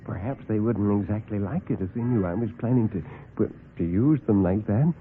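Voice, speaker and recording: A man's voice: fairly low pitch, a little fast, slight background noise, close to an everyday voice. A man playing a meek, flustered, kindly fellow who stammers and fusses, in a classic radio drama. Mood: anxious. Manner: stammering, fussy, breathless exclamations. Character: meek, flustered, kindly man devoted to his unseen friends. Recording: muffled vintage radio recording with music.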